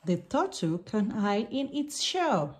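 A woman reading a picture book aloud for small children in simple, deliberate sentences. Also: medium pitch, big pitch swings.

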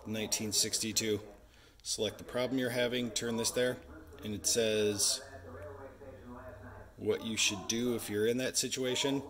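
Low-voiced man chatting casually. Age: 40s. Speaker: vlogger running an online resale business. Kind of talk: casual vlog chat. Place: home office with bookshelves.

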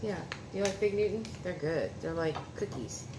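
Low-voiced woman chatting at home. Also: animated, normal speed. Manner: homey, talks to viewers and son while unwrapping food. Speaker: food reviewer vlogger. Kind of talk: casual chatty food tasting. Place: home dining room, indoors. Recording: echoey room with clear background noise.

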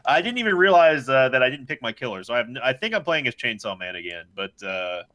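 A man's voice: mid-range voice, very animated, quick pace, bright, forceful tone. A man hosting a casual gaming session, chatting and commentating as he plays with friends. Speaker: gaming group host.

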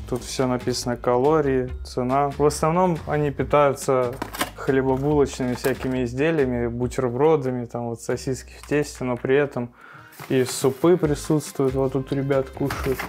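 A man's voice: mid-range voice, darkish tone. A man on the team staff plainly explaining the house routine and the players' meals.

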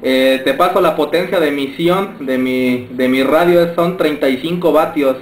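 A Mexican man giving signal reports as a radio operator, courteous and halting as he speaks indoors. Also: fairly high pitch. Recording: roomy camera pickup with faint noise.

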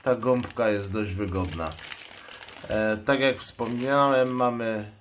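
A man giving a measured, matter-of-fact unboxing commentary as a channel host. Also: on the low side. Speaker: product reviewer and channel host. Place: indoors at a table. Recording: clear background noise, crinkling packaging. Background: noise, plastic packaging crinkles and clicks.

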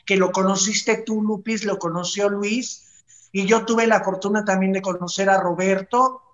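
A woman's voice: medium pitch, fairly even intonation, normal speed. A middle-aged woman, chatting casually as co-host of a talk show over a video call. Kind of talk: casual chat. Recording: roomy, dull video-call audio.

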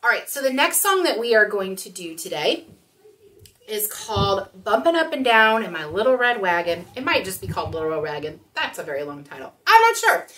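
A woman's voice: mid-range voice, a bit bright, far from the mic. A woman in her thirties hosting storytime, talking and reading aloud expressively to young children. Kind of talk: children's storytime talk and picture-book read-aloud. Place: small room indoors.

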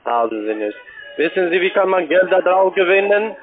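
A man who is not a native German speaker, giving a sales pitch as a cold caller. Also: big pitch swings, a little fast, faint music behind. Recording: tinny phone line.

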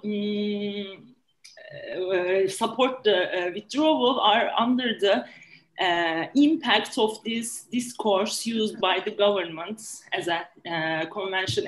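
A young woman explains her views on a video call from an echoey room. Her pitch sits in the middle, and she pauses often on "uh" and restarts her phrases as she builds her points. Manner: hesitant, with many fillers and restarts. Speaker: panelist. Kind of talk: online panel discussion.

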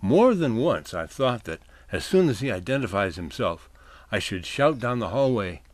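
A man's voice: lowish voice, sing-song, normal speed, no echo. A man narrating a scripted humorous essay in a wry, literate storytelling manner.

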